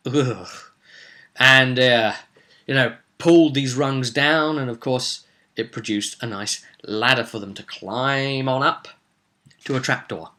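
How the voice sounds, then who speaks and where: A man's voice: mid-range voice, pushed and bright, a little hiss or hum. A man recapping a tabletop roleplay session as game master, telling it theatrically with casual asides.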